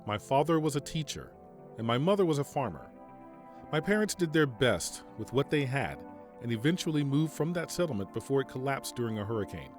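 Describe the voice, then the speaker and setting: An actor playing a calm, formal mercenary general in an audio drama, speaking in a measured way. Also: male voice, mid-range voice, faint music behind, natural voice. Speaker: military general.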